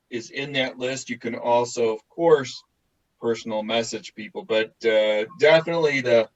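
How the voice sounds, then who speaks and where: A man in a casual video call, explaining in a steady way with a few fillers. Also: mid-range voice. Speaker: pen pal group member. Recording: dull lo-fi call audio.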